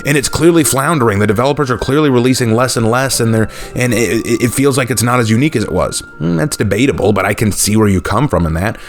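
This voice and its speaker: A young man, talking casually and unscripted to his channel's viewers, relaxed and thinking aloud with fillers. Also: mid-range voice, fast talker, hardly any pauses, soft background music. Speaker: YouTube channel host.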